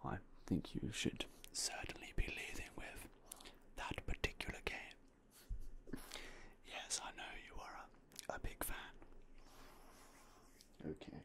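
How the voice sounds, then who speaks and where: A young man whispering softly and politely as a game shop clerk in an ASMR roleplay, close to a binaural mic. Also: slow pace, pauses often. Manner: quiet, whispery, polite shopkeeper patter.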